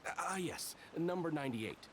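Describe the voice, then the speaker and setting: A man's voice: fairly high pitch, lively intonation, moderate pace, a little hiss or hum, close to an everyday voice. A man playing a dutiful, bookish soldier in an anime game, reciting rules in a formal, earnest way. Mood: officious, earnest.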